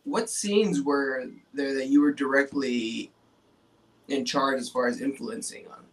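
A man's voice: medium pitch, measured pace, rough recording. A man co-hosting a podcast in casual chat, easygoing and lightly joking. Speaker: podcast co-host. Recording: voice off-mic.

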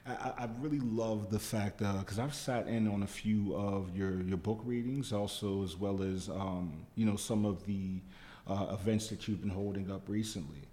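A man hosting a podcast in casual chat, halting and repeating himself with many fillers. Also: lowish voice, mellow, big-room sound. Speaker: podcast host.

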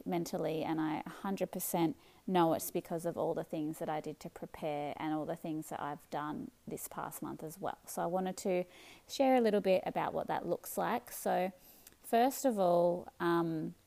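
Aussie woman in her 30s rambling thru her podcast, lots of ums, low-ish voice w heavy vocal fry. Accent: Australian. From Melbourne, Australia. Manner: relaxed, chatty, many ums and restarts. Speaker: podcast host. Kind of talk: casual solo podcast chat.

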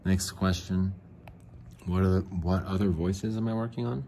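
A man with a very deep, mellow voice talks through a casual solo podcast monologue. He rambles and jokes at his own expense, clears his throat often and restarts sentences as he goes. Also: fairly even intonation, moderate pace, a little hiss or hum. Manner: rambling, self-mocking, answers his own questions. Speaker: podcast host.

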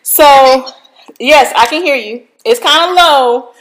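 A woman hosting a talk show, loud and chatty, with frequent fillers. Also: sits high, takes it slowly, a little hiss or hum, low-quality recording. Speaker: host.

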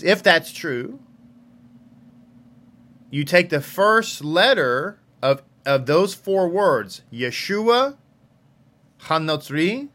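A man's voice: mid-range voice, a bit bright. A man giving an informal scripture lesson as a religious teacher, repeating phrases for emphasis. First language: English.

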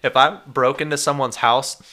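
A man's voice: mid-range voice, fairly even intonation, normal speed, pushed and bright. A young man from Texas in casual chat, laid-back, swearing often and saying "dude" and "like".

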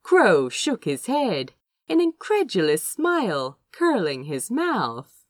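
A woman reading fiction aloud as an audiobook narrator, in an expressive storytelling style. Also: mid-range voice, slow, dry recording. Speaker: audiobook reader.

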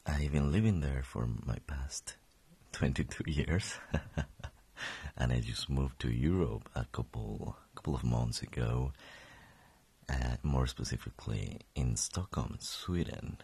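Gravelly deep-voiced young man, just woken. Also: unhurried, a little mellow. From Colombia. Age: 20s. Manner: rambling, self-correcting, chuckling. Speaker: podcast host. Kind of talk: casual solo podcast.

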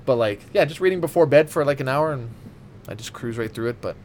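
Rambling, sweary man over clear background noise. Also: mid-range voice, lively intonation, fast, a little mellow. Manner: rambling, profane, emphatic repetition. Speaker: podcast co-host. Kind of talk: casual chat with friends.

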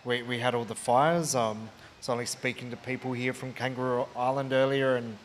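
An interviewer asks a long question at a middle pitch. The speech is hesitant and broken up by fillers. Faint noise sits in the background. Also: fairly even intonation, a little fast.

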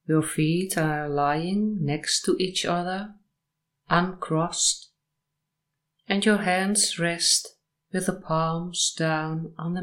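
A woman guiding a sleep meditation and hypnosis session in short, measured, soothing phrases with long pauses between them. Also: fairly low pitch, a bit bright.

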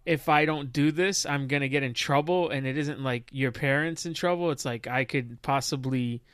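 Joking man in his thirties, smooth voice. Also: medium pitch, normal speed, no echo, clean studio sound. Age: 30s. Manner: chatty, joking, animated. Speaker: podcast co-host. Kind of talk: casual tech podcast chat.

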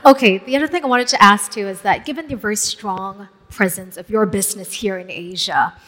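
A woman's voice: medium pitch, a little fast, sharp tone. A woman, moderating a stage panel and asking conversational, inviting questions. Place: large event hall. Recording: long hall reverb.

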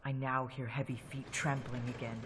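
A man's voice: medium pitch, little pitch movement, normal speed, very noisy, close to an everyday voice. An actor playing a frightened young Englishman narrating his journal in a radio drama reenactment, earnest and tense. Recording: long hall reverb with music.

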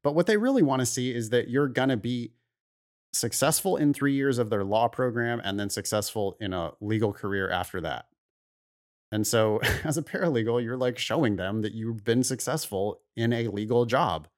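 A man's voice: mid-range voice, lively intonation, normal speed. A man co-hosting an advice podcast, talking casually and giving blunt, opinionated takes. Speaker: podcast host.

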